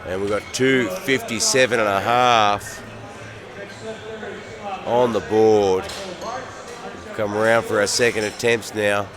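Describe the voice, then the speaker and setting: Australian man calling a lift, crowd behind. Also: lowish voice. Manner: steady, measured calls with dry jokes. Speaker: commentator. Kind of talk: live sports commentary. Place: competition venue.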